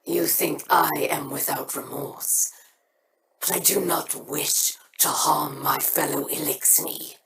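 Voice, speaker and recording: Deep-voiced menacing alien warrior woman, unhurried, noisy roomy echo. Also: steady tone. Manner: menacing, slow. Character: vengeful alien warrior woman, proud, hard.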